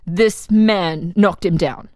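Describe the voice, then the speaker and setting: A woman's voice: lowish voice, slow, a little mellow, dry recording, studio quality. Probing, methodical interrogator, read by a woman. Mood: probing, insistent. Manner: pressing, methodical questioning. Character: probing, insistent official examining a murder prisoner. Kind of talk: dramatic reading of an interrogation transcript.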